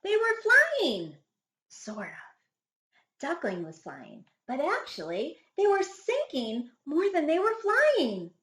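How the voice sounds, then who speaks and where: Expressive, high-voiced woman reading a storybook. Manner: dramatic, deliberate phrasing for young children. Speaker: first grade teacher reading a picture book. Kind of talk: expressive children's storybook read-aloud. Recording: echoey room sound.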